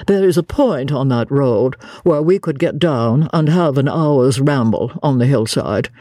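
A reader voicing an officious male clergyman in an audiobook story, formal, measured and condescending. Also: mid-range voice, animated, mellow, no room sound, clean studio sound. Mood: courteous. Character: bland, officious English clergyman, disapproving and courteous.

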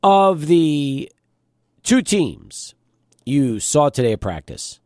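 A man hosting a studio sports talk show, his voice a little hoarse and deeper than usual, chatting and asking questions. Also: slow pace, no room sound. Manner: conversational, lively questioning. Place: radio studio.

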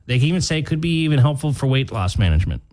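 A man's voice: medium pitch, big pitch swings, racing, a little mellow. A man with an American accent co-hosting a morning radio show, chatting casually in a rambling way and often correcting himself mid-sentence. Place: radio studio.

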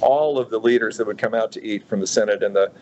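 A man in his fifties from Utah, a restaurateur speaking as a guest in a radio interview, thoughtful and halting with many fillers and restarts. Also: fairly low pitch, a little mellow, dull audio, a little hiss or hum. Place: radio studio.

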